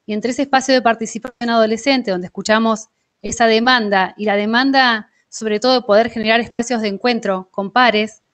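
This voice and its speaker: Argentine woman, thirties, fairly high voice, workshop organiser presenting on a video call from home. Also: steady tone, brisk, fairly bright tone. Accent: Argentine Spanish. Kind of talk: online presentation. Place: indoor kitchen at home. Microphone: earphone mic held near mouth, video call.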